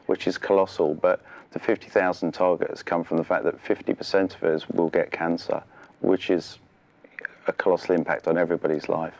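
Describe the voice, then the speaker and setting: A man's voice: sits low, little pitch movement, brisk, a little mellow. A middle-aged man, speaking conversationally and earnestly as a studio interview guest. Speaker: interview guest, charity sailor. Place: television studio.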